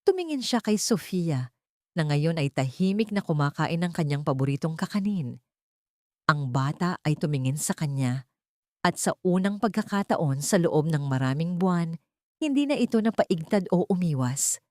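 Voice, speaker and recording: A woman narrating a dramatic story as an audiobook, with measured, sentimental delivery in short phrases. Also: deep voice, lively intonation, a little mellow, dry recording, studio quality.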